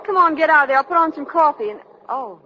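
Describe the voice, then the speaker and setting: Worried attentive wife, bright voice, 1940s radio play, muffled old recording. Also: female voice, high voice, animated, fast, long reverb tail. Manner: concerned, domestic. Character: anxious, attentive wife of a truck driver. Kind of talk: 1940s radio drama acting. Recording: dull old radio recording, faint noise.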